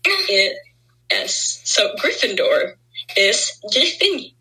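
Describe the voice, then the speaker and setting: A woman's voice: medium pitch, sing-song, drawn-out words, sharp tone, poor audio. A woman from Norway with a southern Norwegian accent, co-hosting a casual podcast and chatting with many fillers and restarts. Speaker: podcast co-host.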